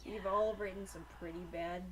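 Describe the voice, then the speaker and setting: A woman's voice: mid-range voice, fairly even intonation, moderate pace. A young woman co-hosting a podcast, talking casually in a group chat. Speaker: podcast co-host. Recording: noisy room with background ambience.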